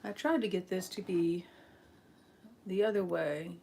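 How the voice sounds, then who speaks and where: A woman's voice: lowish voice, a little slow, vocal fry, dark tone, slight background noise. A woman chatting casually on a live stream as she sets up, rambling with frequent ums, a little sniffly from allergies.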